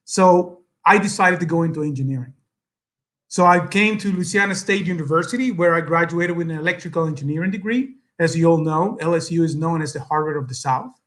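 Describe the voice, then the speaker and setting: Salvadoran guy, spanish accent, highish voice, earnest event speech with lots of uh and um. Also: a bit bright. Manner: earnest, halting, many fillers, switches to Spanish. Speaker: guest speaker.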